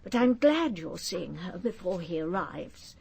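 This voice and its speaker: A woman's voice: lowish voice, big pitch swings, a little slow, gravelly, warm tone, slight background noise, exaggerated voice. An actress playing an older aristocratic English lady in a radio drama, speaking in a clipped, commanding and formal way. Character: imperious old aristocratic English lady, controlled and probing.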